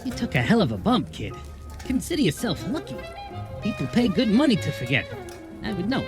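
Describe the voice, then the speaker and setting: Swooping, streetwise goblin bartender. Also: male voice, sits high, wildly swooping pitch, a little fast. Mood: wry sympathy. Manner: slangy, familiar, calling people kid. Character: wry streetwise goblin bartender, a small male fiend. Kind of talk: noir audio drama acting. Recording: music playing behind the voice. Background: music.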